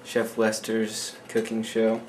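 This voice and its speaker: A man's voice: on the low side, completely flat delivery, takes it slowly, a little mellow. A young man hosting a homemade cooking show in a small kitchen at night, rambling offhand with many fillers. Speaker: home cooking show host. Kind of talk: casual home cooking demo. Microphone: handheld camera mic, close. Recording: noisy home camera audio.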